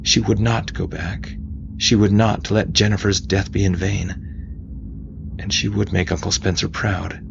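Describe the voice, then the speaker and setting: A man reading scripted audiobook fiction in a dramatic, intense way with deliberate emphasis. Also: sits low, fairly even intonation, gravelly, lo-fi sound. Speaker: narrator. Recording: faint noise behind voice.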